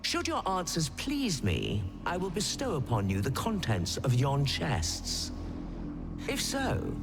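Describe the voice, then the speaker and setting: An actor playing a haughty, pompous riddle-setting creature in a video game, with archaic, theatrical phrasing over music. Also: male voice, on the high side, huge pitch swings, slow, heavily acted. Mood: haughty, taunting. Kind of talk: scripted video game acting. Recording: music behind the voice.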